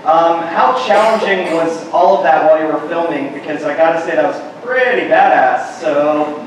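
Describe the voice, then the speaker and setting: A man's voice: on the high side, normal speed, a crowd in the background. A man in the audience asking a question, rambling and hesitant, with fillers and small laughs. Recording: echoey room with background noise, distant.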